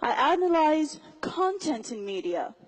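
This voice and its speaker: A young woman giving a public speech to a live audience, rallying the crowd with questions and repeating lines for emphasis, in a husky voice. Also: sits high, very animated, unhurried, poor audio. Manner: rhetorical repetition. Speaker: speaker.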